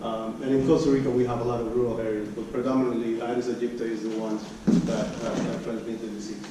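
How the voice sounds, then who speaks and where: A man presenting research at an academic conference, speaking haltingly and often repeating and restarting his phrases. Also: mid-range voice, level tone. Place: conference room. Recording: echoey room, distant mic, background noise.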